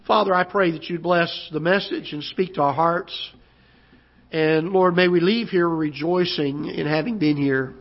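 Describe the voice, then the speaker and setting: A pastor with a hoarse, recovering voice preaching a sermon in a church auditorium, halting and repeating words, then rising as he preaches. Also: a little high. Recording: dull lo-fi church broadcast.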